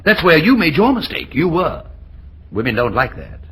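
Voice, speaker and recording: An actor playing a self-assured, urbane, middle-aged professor with a condescending manner in an old-time radio drama. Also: male voice, fairly high pitch, huge pitch swings, normal speed, a bit bright, a little hiss or hum. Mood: smug. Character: suave, self-assured older professor and fiancé, mature, smooth-talking.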